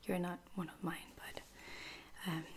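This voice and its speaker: A woman's voice: on the low side, little pitch movement, slight background noise, natural voice. Woman playing a whispering, caring female lieutenant. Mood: gentle, hesitant. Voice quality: breathy unvoiced whisper. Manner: hesitant, gentle, reassuring, with soft-spoken pauses. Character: caring, apologetic female space-soldier lieutenant tending a wounded stranger. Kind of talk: whispered ASMR roleplay.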